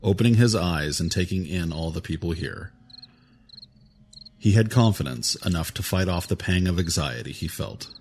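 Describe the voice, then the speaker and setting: A man narrating an audio drama in a measured, even storytelling manner. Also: sits low, slight background noise. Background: music score.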